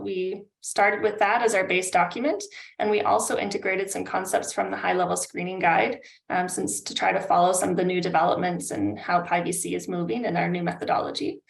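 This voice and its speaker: A woman's voice: medium pitch, gravelly. A Canadian-accented woman in her thirties giving an informal, friendly professional slide presentation over a video call. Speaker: consultant presenting a webinar. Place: indoor room on a video call. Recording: roomy video-call audio.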